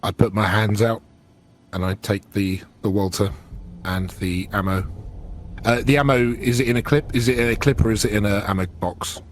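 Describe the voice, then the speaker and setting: A man with a fairly low voice plays a tabletop game, casually narrating what his character does. There is some noise in the recording. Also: steady tone, moderate pace. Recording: clear background noise.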